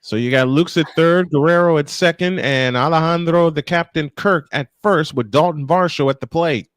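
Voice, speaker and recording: A man's voice: a little high, moderate pace, clear, resonant tone, no echo. A man doing live baseball play-by-play, folksy and chatty as he banters with co-hosts. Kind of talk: live sports commentary with casual banter.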